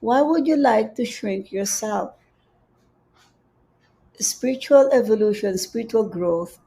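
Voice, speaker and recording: A woman with a Filipino accent giving a gentle, repetitive spiritual teaching on a livestream, reading out viewer comments. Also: fairly high pitch, slow pace, vocal fry, breathy edge, poor audio. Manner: gentle, repeats phrases, reads viewer comments aloud. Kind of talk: live-streamed spiritual teaching. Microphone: livestream setup.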